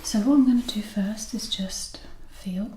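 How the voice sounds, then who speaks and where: A woman speaking gently and carefully in a soft, whispery ASMR voice, as a clinician examining a patient. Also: mid-range voice, measured pace. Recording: echoey room with faint noise.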